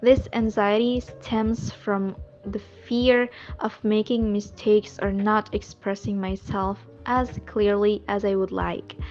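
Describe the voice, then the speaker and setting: Slow, muffled woman speaking in measured tones. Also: medium pitch, flat delivery, a little mellow. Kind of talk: answering interview questions. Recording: dull, muffled audio with faint music.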